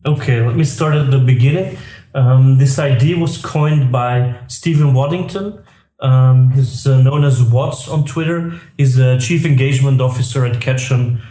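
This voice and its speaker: A Belgian man in his thirties answers questions in a warm, mellow voice, hesitating often on "um". The room around him rings with noticeable echo. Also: mid-range voice, moderate pace. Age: 30s. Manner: hesitant, many "um"s, laughs lightly. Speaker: guest, tech co-founder. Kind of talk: interview answers. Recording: echoey room.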